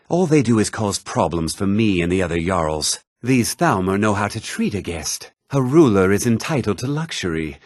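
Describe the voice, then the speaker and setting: Snooty fantasy game noble, deepish husky voice, condescending, pitch swings around a lot. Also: male voice, dry recording, studio quality. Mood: smug. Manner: pompous, condescending, theatrical. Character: haughty, self-important male noble in a fantasy realm. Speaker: video game voice actor. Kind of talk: scripted fantasy game dialogue lines.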